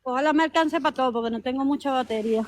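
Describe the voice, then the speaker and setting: A woman's voice: high voice, very fast, a little hiss or hum. A woman from Venezuela, a guest on a call-in show, answering insistently and defending her case. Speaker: interview guest. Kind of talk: call-in interview.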